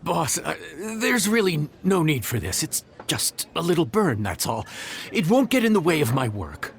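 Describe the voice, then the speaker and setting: An actor playing a proud, stubborn inn chef in a video game, flustered and defensive, with hesitant stammers. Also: male voice, on the high side, sing-song, moderate pace. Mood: sheepish. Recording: music playing behind the voice.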